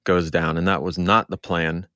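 A man's voice: low voice, flat delivery, moderate pace, fairly bright tone, dry recording, studio quality. A man co-hosting a sports podcast, speaking in a casual conversational way. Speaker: podcast co-host.

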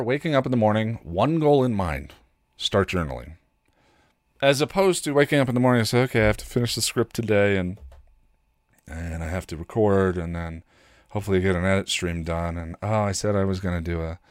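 A man's voice: deep voice, lively intonation, fairly quick, dry recording, studio quality. A man hosting a casual solo podcast in a rambling, self-deprecating way, often acting out his own inner dialogue. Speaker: podcast host.

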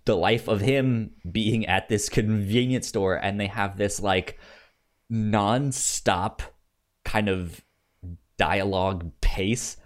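Male podcast co-host, low-pitched, unhurried and halting, stutters on sounds and words, casual movie-review chat. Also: steady tone. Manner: halting, hesitant delivery.

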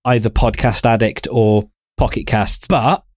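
A man talks casually as a podcast co-host, with a medium-pitched voice. He feels his way through sentences, pausing and repeating words. The sound is dry, with very little room around it. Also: fairly even intonation, no echo. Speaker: podcast co-host who runs a podcast hosting company. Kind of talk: casual chat.

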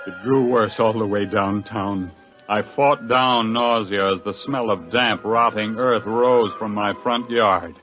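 An actor in an old radio drama playing a hard-pressed, take-charge scientist, speaking with dramatic force. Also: male voice, fairly low pitch, takes it slowly, fairly bright tone, faint music behind. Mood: grim narration. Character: hard-pressed, take-charge male scientist narrating his own ordeal.

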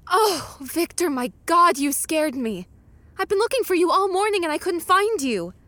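A woman in a radio play playing an anxious, affectionate young fiancée, fretful and gently chiding. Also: a little high, expressive, normal speed, no room sound, lightly acted. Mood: worried, relieved.

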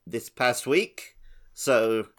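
A man's voice: medium pitch, drawn-out words. A man talking casually as a panelist on a gaming podcast.

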